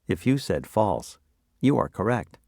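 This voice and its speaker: Slow, low-voiced man quizzing on vocabulary. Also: very animated, gravelly, warm tone, no room sound, clean studio sound. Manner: instructional, quizzing. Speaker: quiz presenter. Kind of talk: scripted vocabulary review.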